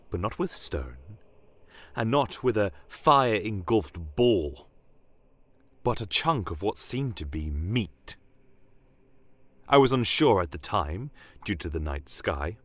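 A man playing a solemn, defiant horned army commander, telling a dramatic fantasy story in a grave, measured manner. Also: fairly low pitch, pushed and bright, no room sound, exaggerated voice. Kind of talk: dramatic first-person fantasy audio story.

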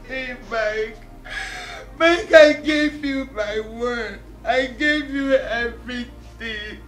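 A woman's voice: fairly high pitch, slow pace, distant mic. A woman acting in a film drama as a heartbroken, betrayed mother, plaintive and pleading. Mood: anguished, pleading. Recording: roomy echo, faint music underneath.

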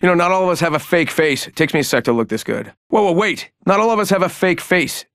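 Cocky game trickster, high voice swooping all over, stammery and teasing, super dry recording. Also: male voice, expressive, quick pace, fairly bright tone, dry recording. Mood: playful boasting. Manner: flippant, stammering, theatrical teasing. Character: cocky, joking young male trickster fighter. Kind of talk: video game character voice lines.

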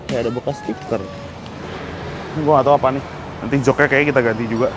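A young man from Indonesia hosting a casual motorbike unboxing vlog, talking in a chatty, excitable way in an outdoor garage yard. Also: mid-range voice, quick pace, heavy background noise. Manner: chatty, excitable, swears and laughs. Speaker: motovlog host.

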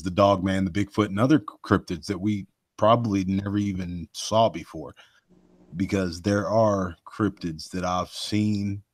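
A man with a deep voice that carries, hosting a live show and rambling folksily with many asides to his listeners. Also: no echo. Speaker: host of a cryptid research live show. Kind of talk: casual live podcast chat.

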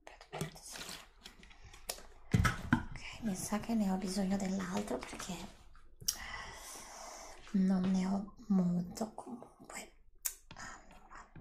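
A middle-aged woman in a whispered ASMR roleplay, speaking as a polite, attentive bar server who softly repeats herself. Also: mid-range voice, creaky, slight background noise. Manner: polite, attentive shop-server patter, soothing repetition. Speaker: ASMR performer playing a bubble tea bar server.

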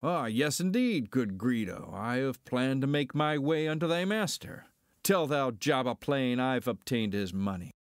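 Cocky smuggler pilot, played by a man, medium pitch, Shakespearean verse, close dry sound, audio drama. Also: big pitch swings, unhurried, no echo. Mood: cocky, wry. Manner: declaiming archaic verse. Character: cocky roguish smuggler pilot with wry conscience. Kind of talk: amateur Shakespearean dramatic reading.

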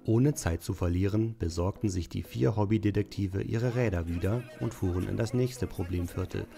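A dry male narrator, played by an actor with a low, creaky, dark voice. He tells a detective story for a radio play. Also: moderate pace, soft background music. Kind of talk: scripted audio drama narration.

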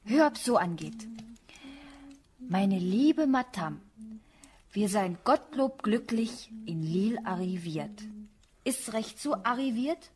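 An actress playing a coquettish, proud and easily flustered young merchant's daughter in a German radio play. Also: female voice, mid-range voice, expressive, slow, long pauses, darkish tone, slight background noise. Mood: careful, proud.

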